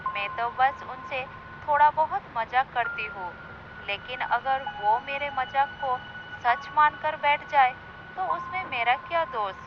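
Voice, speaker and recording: A woman playing a cunning, conceited female fox with a theatrical delivery in an animated moral story. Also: sits high, measured pace. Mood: smug. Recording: dull lo-fi sound with clear noise.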